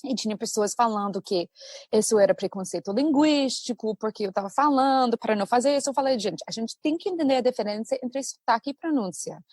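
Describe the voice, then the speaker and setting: An American woman, a native English speaker and English teacher, chatting as a podcast guest in a lively storytelling manner. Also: a little high, fairly quick, no room sound, studio quality. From United States. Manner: chatty, animated storytelling with laughs. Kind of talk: casual podcast conversation.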